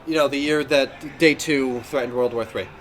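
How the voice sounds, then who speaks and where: A man's voice: medium pitch, steady tone, normal speed, a little hiss or hum, poor audio. A man hosting a podcast in casual gaming chat, talking in a rambling, self-correcting way. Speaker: podcast host.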